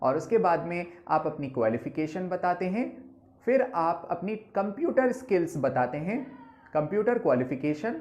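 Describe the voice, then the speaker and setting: A woman's voice: on the low side, very animated, moderate pace, darkish tone. Indian woman teaching in a roomy echo. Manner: patient, explanatory, keeps addressing listeners as friends. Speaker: English teacher. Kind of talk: bilingual Hindi-English spoken lesson. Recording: roomy, slightly dull recording.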